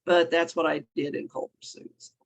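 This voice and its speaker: Woman author, hoarse and froggy from a cold, casual rambling chat. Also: low-pitched, little pitch movement, normal speed. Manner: rambling, self-correcting, chuckling often. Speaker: guest author.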